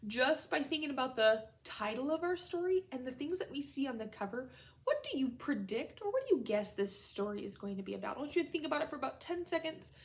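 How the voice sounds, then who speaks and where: Female elementary teacher, slightly high voice, guiding a children's read-aloud with clear, simple prompts. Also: very animated, quick pace. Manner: instructional, addresses children with simple questions and prompts.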